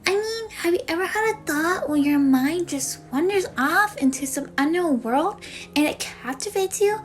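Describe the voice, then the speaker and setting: A woman's voice: extremely high pitch, expressive, normal speed, slight background noise, pitched above the performer's own voice. A woman playing a self-conscious young woman in a confessional monologue, rambling in a bashful, hesitant way. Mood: awkward, embarrassed. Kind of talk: confessional monologue for a voice acting demo reel.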